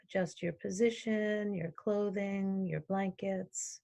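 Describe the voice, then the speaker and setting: A woman leads a guided relaxation meditation as a yoga teacher. She speaks very slowly at a medium pitch. Her instructions come calmly in short phrases, with long pauses left between them. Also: gravelly.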